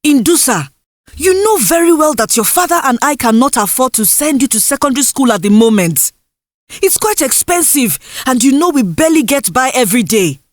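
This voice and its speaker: Folktale mother, played by a woman: harsh, dismissive scold, fairly high voice, dry recording. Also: animated, a little fast, no room sound. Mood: impatient. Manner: scolding, dismissive, rhetorical questions.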